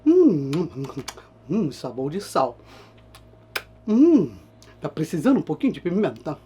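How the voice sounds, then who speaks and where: A woman voicing a greedy male rat who hums and mutters low as he sneaks a taste. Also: very animated, unhurried, warm tone, breathy edge, exaggerated voice. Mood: sneaky. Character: greedy male rat groom, sly and peckish.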